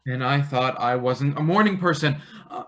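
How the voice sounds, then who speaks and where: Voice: medium pitch, moderate pace, fairly bright tone, lightly acted. A performer playing a nervous, sarcastic young intern held captive, stammering and wisecracking in a satirical play reading. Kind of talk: live play table read, satirical stage acting.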